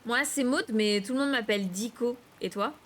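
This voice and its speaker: A woman's voice: on the high side, normal speed, a bit bright. A woman playing a cheeky, blunt schoolgirl. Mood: friendly. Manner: blunt, teasing, a little annoyed. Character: cheeky, blunt redheaded schoolgirl, slightly impatient.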